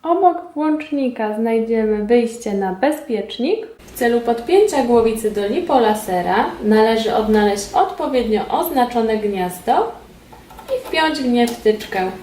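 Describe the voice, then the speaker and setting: A woman giving formal, measured, scripted instructional narration as a presenter in a training film. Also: a little high, expressive, warm tone. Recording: roomy echo, distant mic, background noise.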